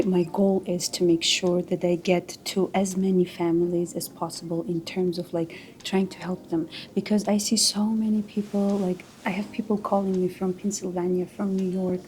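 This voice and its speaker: A woman's voice: mid-range voice, mellow, slight background noise. A woman from Tajikistan in her thirties, talking casually as an interview guest, with many fillers and restarts. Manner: loose, filler-heavy, frequent restarts. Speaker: realtor, guest.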